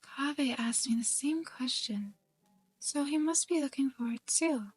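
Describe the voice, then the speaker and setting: A woman voicing a sleepy, timid, overworked young student in a fan-dubbed anime game, soft and hesitant. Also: high-pitched, unhurried, no room sound. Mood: weary, gentle. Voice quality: soft, breathy. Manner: drowsy, hesitant. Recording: background music.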